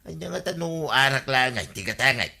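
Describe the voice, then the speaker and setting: A man's voice: medium pitch, animated, fairly quick, vocal fry, bright, forceful tone, exaggerated voice. A man playing a grumbling, pushy village drinking buddy in a comedy radio drama, complaining and cajoling with an Ilocano accent. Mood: scheming.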